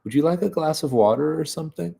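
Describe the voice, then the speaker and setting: A man's voice: fairly high pitch, fast, creaky, mellow, slightly rough, voice pushed up, heavily acted. A man voicing a dry, wry adult woman in a live story reading, with his voice raised.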